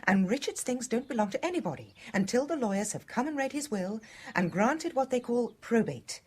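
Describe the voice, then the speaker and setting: A woman's voice: on the high side, expressive, a little fast, a little mellow, breathy edge. A British woman playing a secretive, emotional wife of a murdered man in a radio drama. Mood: soothing.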